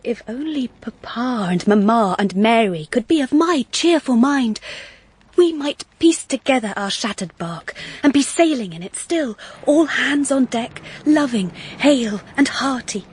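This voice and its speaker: An actress playing an earnest, wistful young woman narrating her story in a measured, literary period-drama style. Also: fairly high pitch, animated, slightly rough, no room sound. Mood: hopeful.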